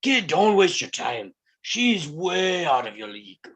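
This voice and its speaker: A man's voice: sing-song, fairly bright tone, breathy edge, exaggerated voice. A man reading the part of a brash, wisecracking middle-aged circus owner with a blunt, joking manner. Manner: joking, blunt, fast-shifting pitch. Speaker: table read actor. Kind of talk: live table read acting.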